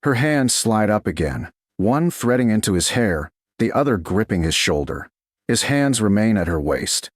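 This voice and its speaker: Low-voiced male romance narrator, widely varied pitch. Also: no echo, studio quality. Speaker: audiobook narrator. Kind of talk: scripted narration of a romance story.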